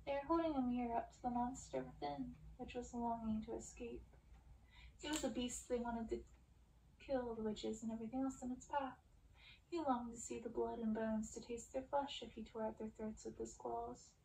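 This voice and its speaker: A woman's voice: fairly high pitch, steady tone, moderate pace, vocal fry, warm tone. A woman reading a story aloud in an ASMR narration style. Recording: roomy, distant, faint background noise.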